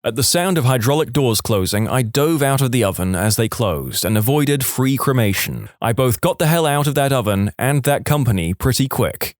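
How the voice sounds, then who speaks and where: A man's voice: mid-range voice, lively intonation, moderate pace, few pauses, dry recording, studio quality. A man reading scripted online stories as a narrator, acting out the quoted speech.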